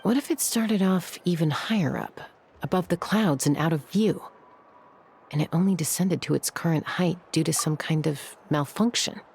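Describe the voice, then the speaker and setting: An actress playing a composed, thoughtful young gunslinger in a video game, measured and cool-headed. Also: female voice, low voice, vocal fry, darkish tone, slightly rough, exaggerated voice. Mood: reasoning, calm.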